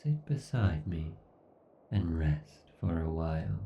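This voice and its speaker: A man's voice: animated, takes it slowly, a little hiss or hum, heavily acted. A man playing an obsessed, condescending villain AI god in a horror ASMR roleplay, with a brooding, theatrical, menacing delivery. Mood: gloating menace. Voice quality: gravelly, dark, low. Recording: dull, roomy, slightly distant sound.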